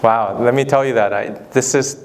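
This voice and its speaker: A young man whose first language is Korean, a documentary filmmaker answering student questions in a relaxed, thoughtful way on a handheld mic. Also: medium pitch, a little hiss or hum. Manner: thoughtful, relaxed, slow deliberate phrasing. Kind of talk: casual Q&A with students. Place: school hall. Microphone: handheld microphone.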